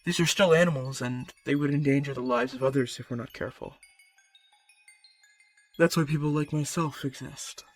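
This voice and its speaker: Guy playing a calm smooth-talking monster specialist, audio rp, mid pitched, talks right to you like a patient guide, music underneath. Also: lightly acted. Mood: explaining, assured. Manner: measured, coaxing, instructive. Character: calm, persuasive male specialist who binds magical creatures. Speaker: voice actor. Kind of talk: audio roleplay, speaking directly to the listener. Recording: music behind the voice.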